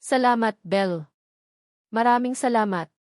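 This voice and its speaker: A woman's voice: fairly high pitch, normal speed, a little mellow, dry recording, studio quality, lightly acted. A woman with a Filipino accent voicing an earnest, repentant young father in a narrated love story. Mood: grateful.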